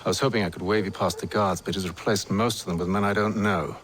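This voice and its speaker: An actor playing a cool, sarcastic Templar leader with a dry, composed delivery in a video game. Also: male voice, sits low, fairly even intonation, fast talker, fairly bright tone, a little hiss or hum. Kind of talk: video game cutscene acting.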